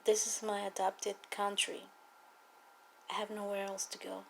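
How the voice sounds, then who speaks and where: A woman from Cuba with a Cuban accent, a native Spanish speaker, giving an earnest, emphatic personal testimony and political appeal with deliberate repetition. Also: mid-range voice, monotone, creaky, slight background noise. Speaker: political activist speaking to viewers.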